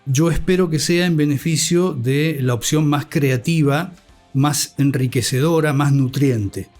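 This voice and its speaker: A middle-aged man with an Argentine accent, giving reflective, measured answers as an academic guest, in formal vocabulary. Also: fairly high pitch, a little mellow. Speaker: interview guest, communication academic and scriptwriter. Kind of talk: reflective interview talk. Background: music bed.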